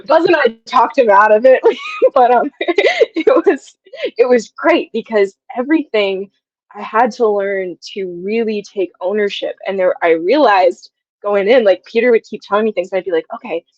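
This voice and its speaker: A woman's voice: mid-range voice, lively intonation, normal speed, no room sound, muffled recording. A young woman chatting casually as an interview guest, effusive and given to telling things through quoted asides. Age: young adult.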